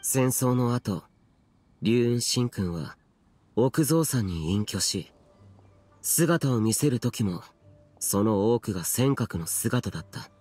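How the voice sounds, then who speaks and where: Husky, slow, solemn immortal warrior. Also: male voice, medium pitch, expressive, a little mellow, faint music behind. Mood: solemn recollection. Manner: terse, archaic, grave. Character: aloof, solemn immortal young male warrior guardian. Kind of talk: dramatic video game dub acting.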